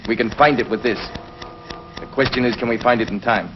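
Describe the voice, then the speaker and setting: An actor playing an urgent, serious scientist in a 1950s television sci-fi serial. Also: male voice, mid-range voice, brisk, noisy background, natural voice. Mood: tense, determined. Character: urgent scientist doctor aboard a time-travelling spaceship. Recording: dull vintage 1950s TV soundtrack.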